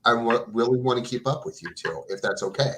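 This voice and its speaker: A man's voice: mid-range voice, expressive, fairly quick, a bit bright, poor audio. Earnest, stammering man in his forties. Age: 40s. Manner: earnest, stammers and restarts often. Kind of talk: casual chat.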